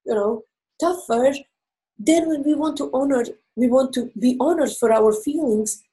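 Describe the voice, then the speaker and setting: A woman's voice: high-pitched, warm tone, low-quality recording. A woman with a Croatian accent, a native Croatian speaker, giving relationship advice as an interview guest in an earnest, explaining way. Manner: earnest and explanatory, with emphatic pauses.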